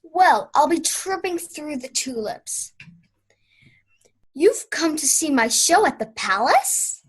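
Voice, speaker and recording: A young woman acts out an eccentric old aunt from vaudeville days in a student radio play. The voice is high, with grand, theatrical delivery full of proud reminiscence. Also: animated, slow pace, rough recording. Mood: haughty, theatrical.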